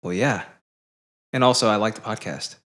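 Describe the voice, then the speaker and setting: A young man co-hosting a podcast in casual chat, jokey and quick to laugh. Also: fairly low pitch. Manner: jokey, animated, laughs often. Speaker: podcast co-host.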